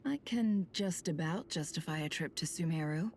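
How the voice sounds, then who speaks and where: A woman playing a sly, self-possessed boss in a video game, cool, dry and deliberate in manner. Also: low voice, a little mellow, a little hiss or hum. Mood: cool, knowing.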